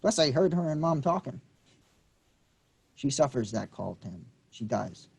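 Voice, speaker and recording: A man playing a husky hot-headed young cousin. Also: mid-range voice, sing-song, unhurried, a little mellow, heavily acted. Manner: combative, swearing, pushy. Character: young man, quick-tempered city cousin. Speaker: actor in a staged reading.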